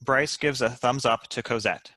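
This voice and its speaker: A man narrates stage directions from a script. His mid-pitched voice is bright and forceful, recorded close and dry. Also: normal speed, no echo. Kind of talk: scripted narration at a comedy table read.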